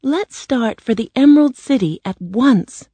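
A woman's voice: high voice, sing-song, measured pace, warm tone, dry recording, voice pushed up, exaggerated voice. A woman voicing an earnest, determined young girl in a storybook. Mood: eager.

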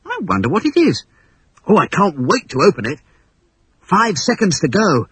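An excitable young boy hero, voiced by a male reader, impatient and eager. His voice sits higher than the reader's own, with a husky edge. The recording is dry and close. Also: moderate pace, no room sound, full character voice.

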